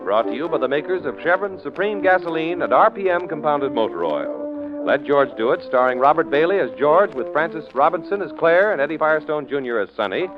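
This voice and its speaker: An American man announcing and reading scripted sponsor copy in 1940s radio style. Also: fairly high pitch. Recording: muffled old radio recording, music behind.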